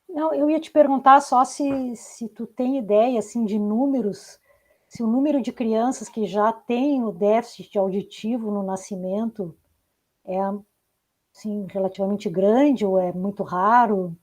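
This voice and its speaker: A woman's voice: fairly high pitch, a little slow, a little mellow. A woman asking casual questions as a podcast interviewer.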